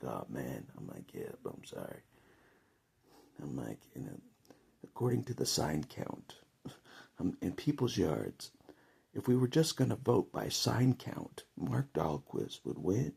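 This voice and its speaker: American male vlogger, very husky and gravelly, hugely animated pitch, rambling and jokey with frequent chuckles. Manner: chuckles often, jokey, conversational. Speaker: vlogger giving political opinion. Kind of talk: casual rambling monologue to camera.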